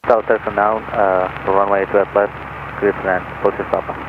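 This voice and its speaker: A man's voice: on the low side, little pitch movement, moderate pace, phone-quality sound, noisy background. A man speaking as a pilot, giving clipped calls and read-backs to a tower over aviation radio.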